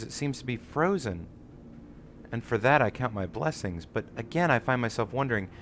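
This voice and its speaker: A man's voice: mid-range voice, a little hiss or hum. A man voicing an earnest, inquisitive scholar in a fantasy game, with formal, musing, theatrical phrasing. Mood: puzzled, wondering. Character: earnest, inquisitive scholar exploring ancient ruins. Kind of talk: read-aloud fantasy game dialogue.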